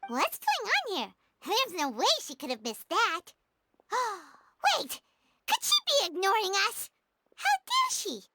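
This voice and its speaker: A woman voicing a tiny, excitable fairy companion in a video game, with a squeaky childlike voice and a chatty, exclamatory manner. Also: slow pace, heavy background noise, full character voice. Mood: indignant. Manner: exclamatory, refers to herself in third person. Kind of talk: animated video game voice acting.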